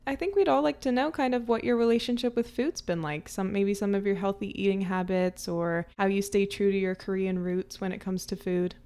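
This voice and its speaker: A woman host introduces the episode and its guest with eager, admiring enthusiasm. Her voice sits at a middle pitch and keeps a conversational, friendly flow. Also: steady tone, brisk, hardly any pauses, warm tone, clean tone.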